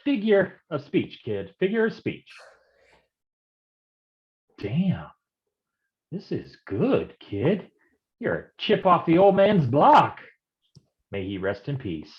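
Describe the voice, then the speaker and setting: An actor playing a hard-boiled male private detective in a noir staged reading, gruff and wisecracking in old-time gumshoe slang. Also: a little high, very animated, takes it slowly, long pauses, highs cut off. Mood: gruff, protective.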